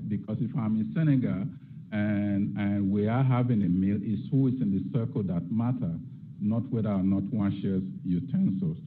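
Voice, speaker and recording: A man's voice: on the low side, phone-quality sound, slight background noise. A middle-aged man from Nigeria, giving an academic lecture and posing rhetorical questions as a guest lecturer. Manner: reflective, asks rhetorical questions.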